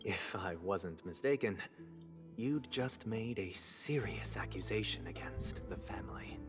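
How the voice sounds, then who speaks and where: An actor playing a composed, menacing, high-ranking gentleman in a video game, speaking in a measured, formal, condescending way. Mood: coldly polite. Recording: game dialogue with music underneath.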